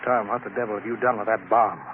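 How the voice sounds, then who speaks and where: An actor playing a bullying, explosive colonial bureau chief in a 1950s radio drama. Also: male voice, fairly low pitch, steady tone, fast talker, close to an everyday voice. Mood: angry. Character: blustering tyrannical male colonial boss. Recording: scratchy tinny old radio broadcast.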